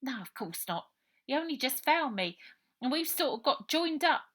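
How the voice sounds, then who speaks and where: A woman's voice: sits high, big pitch swings, moderate pace, a bit bright, voice pushed up. Woman voicing a scruffy, defiant runaway orphan boy. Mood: matter-of-fact. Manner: rough colloquial working-class English grammar. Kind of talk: children's storybook character voice.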